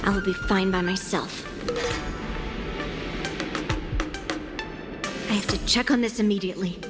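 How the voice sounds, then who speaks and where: A woman's voice: medium pitch, steady tone, quick pace, close to an everyday voice. A woman voicing a confident young swordswoman in a video game, speaking with youthful, composed command. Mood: decisive. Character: confident young swordswoman, mentor to a nervous girl. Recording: long hall reverb over game music.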